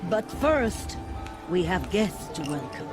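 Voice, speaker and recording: A stern, zealous priestess, leads the ceremony in a slow, commanding voice of middling pitch. Game music runs under this video game scene. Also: female voice, very animated. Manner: formal, commanding, ritual address.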